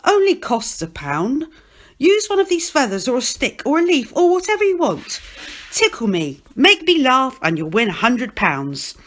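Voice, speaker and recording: A woman's voice: high voice, huge pitch swings, normal speed, voice pushed up, full character voice. A woman reading a storybook, playing a glum, bitter male fairground hustler who sighs and needles. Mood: glum coaxing. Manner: sighing, downbeat, needling.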